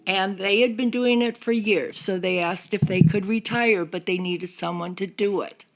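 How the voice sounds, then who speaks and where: Creaky-voiced older woman. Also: mid-range voice, moderate pace, low-quality recording. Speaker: guest, church member. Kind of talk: casual chat. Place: small studio round a table. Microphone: close podcast microphone.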